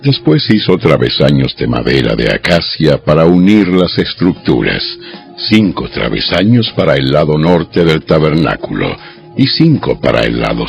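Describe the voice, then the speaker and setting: A man narrates dramatized scripture with an extremely low, gravelly voice. His delivery is measured and solemn, like formal storytelling. Music plays softly behind a slightly muffled recording. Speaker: Bible narrator. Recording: dull lo-fi audio over music.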